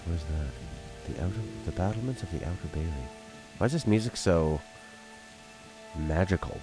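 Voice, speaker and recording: Very deep-voiced man streaming a game. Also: lively intonation, normal speed, low-quality recording. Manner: rambling, joking, thinks aloud. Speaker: let's play streamer. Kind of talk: casual gameplay commentary. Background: game music.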